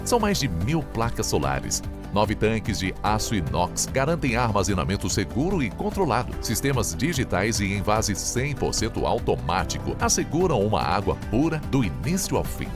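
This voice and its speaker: A man announcer reading a scripted advertisement in a smooth promotional delivery. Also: lowish voice, very animated, moderate pace, hardly any pauses. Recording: music bed behind voice.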